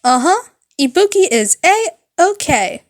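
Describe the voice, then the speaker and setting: Woman voicing a high, breezy rock-star girl. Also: sing-song, slow, heavily acted. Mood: cheerful, reassuring. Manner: breezy, reassuring, speaks of herself by name. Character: bubbly, kind teenage girl rock star, third-person talker.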